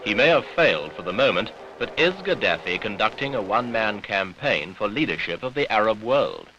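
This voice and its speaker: A man's voice: on the low side, sing-song, fairly quick, muffled recording, background noise. A British man reading scripted newsreel narration with pointed, dramatic phrasing on an old 1970s soundtrack.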